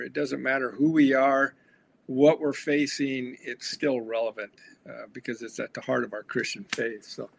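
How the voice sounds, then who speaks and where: Gravelly earnest pastor, a man. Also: medium pitch, fairly bright tone. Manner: earnest, reflective, with frequent fillers. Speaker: pastor and author, guest. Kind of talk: conversational interview answers.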